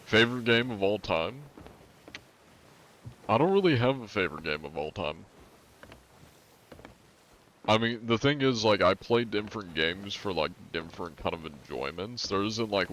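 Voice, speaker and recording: A man's voice: low-pitched, fairly bright tone, slight background noise. Rambling young man streaming games. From northern United States. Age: 20s. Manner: rambling, filler-heavy, reading chat aloud. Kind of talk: casual live-stream chat while gaming.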